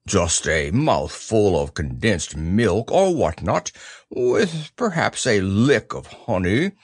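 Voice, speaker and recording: A humble toy bear of little brain, played by a man in a storybook reading. He talks very slowly and earnestly, plodding from word to word, with a lot of rise and fall. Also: mid-range voice, sing-song, no room sound, clean studio sound, exaggerated voice. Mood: dreamy. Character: humble, slow-witted, gentle male toy bear. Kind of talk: storybook character voice.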